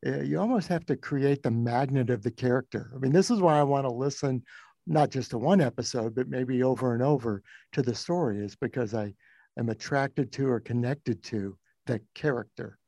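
A man's voice: mid-range voice, normal speed, gravelly, dark tone, no room sound. A man hosting an interview podcast, putting questions in a conversational, reflective way.

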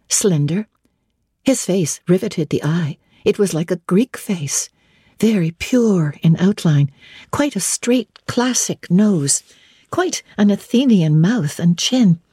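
A woman narrating a classic novel as a dramatic reading, with measured, deliberate and formal phrasing. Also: medium pitch, expressive, mellow, slightly rough, no echo, clean studio sound. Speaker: audiobook narrator.

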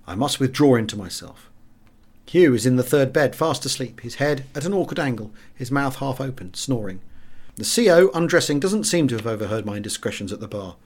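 A middle-aged English man reading a memoir aloud as an expressive audiobook narrator. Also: medium pitch, fairly quick. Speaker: audiobook reader.

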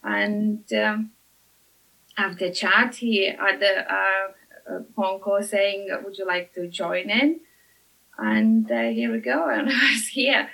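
A woman from Lithuania with a Lithuanian accent, speaking as a podcast guest with many halting "uh" fillers. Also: medium pitch, gravelly, bright, forceful tone, low-quality recording. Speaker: master blender at a whisky distillery.

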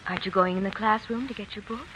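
A woman as a prim, bright-voiced schoolteacher. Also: medium pitch, quick pace, lightly acted, low-quality recording. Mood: gentle, coaxing. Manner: proper, instructive. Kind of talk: 1940s radio drama acting. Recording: vintage 1940s radio, faint noise.

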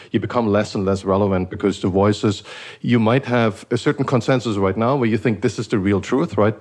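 Podcast host, man raised in Eastern Germany, low-pitched voice, musing and rambling delivery. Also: a little mellow. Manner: thoughtful, rambling with many restarts. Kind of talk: casual conversational podcast.

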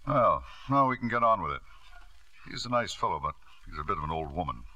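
An actor playing a domineering, middle-aged British lord in a 1950s radio drama, polished and insistent. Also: male voice, low-pitched, big pitch swings, brisk, pushed and bright, dry recording, dull audio, exaggerated voice. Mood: condescending, persuasive.

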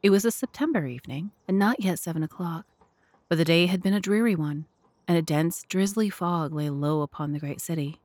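A woman with a slightly low voice serves as narrator of an audio drama. She delivers scripted, literary storytelling. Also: fairly quick, a little mellow, no room sound.

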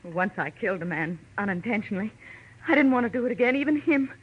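An actress playing an earnest, troubled woman in a 1940s radio crime drama, with a husky voice. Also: mid-range voice, animated, fast talker. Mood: shaken, confessing. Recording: muffled vintage radio recording.

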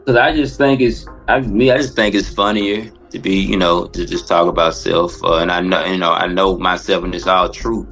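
A man speaking as a comedian guest on a podcast, answering casually and reflectively, often halting and repeating words. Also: sits low, fairly even intonation, creaky, a bit bright, faint music behind, poor audio.

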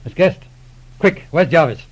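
An actor playing a brisk, earnest police inspector in an old radio drama, with an urgent, formal, clipped manner. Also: male voice, fairly high pitch, expressive, a little hiss or hum, close to an everyday voice. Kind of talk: 1940s radio drama acting. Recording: dull old radio recording.

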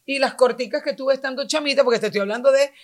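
A woman's voice: sits high, quick pace. A Venezuelan woman in her forties, a guest in a casual chat, talking in an earnest, explanatory way.

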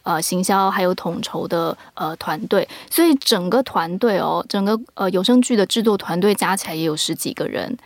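A woman's voice: fairly low pitch, moderate pace, few pauses, no echo. A woman with a Taiwanese accent speaking as a producer and guest, explaining things earnestly in casual interview talk. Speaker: audio drama producer and guest.

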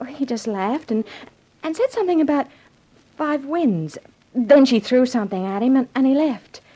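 An actress playing an anxious, confiding lady's maid with a husky voice and nervous stammer in a 1950s radio drama. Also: high voice, expressive, moderate pace, mellow, poor audio. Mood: worried.

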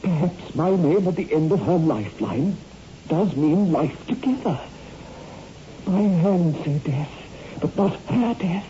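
Desperate doctor in an old radio horror play, melodramatic, mellow tone, swoops around, some hiss. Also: male voice, a little high, lively intonation. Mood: anguished, desperate. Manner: halting, anguished, melodramatic. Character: tormented married doctor driven toward murder. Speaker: radio drama actor. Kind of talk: 1960s radio horror acting. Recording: muffled, noisy old radio recording.

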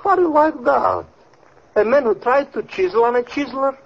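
An actor playing an excitable comic foreign artist and chiseler in a vintage radio mystery, with theatrical mangled English. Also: male voice, very high-pitched, normal speed, husky, exaggerated voice. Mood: indignant. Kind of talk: 1940s-50s American radio comedy-mystery acting. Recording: muffled, hissy old radio recording.

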